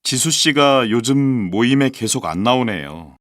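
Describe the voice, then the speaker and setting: A man's voice: mid-range voice, expressive, unhurried. A man voicing scripted dialogues and a model presentation for a language textbook, with careful, evenly enunciated reading. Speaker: textbook audio voice actor.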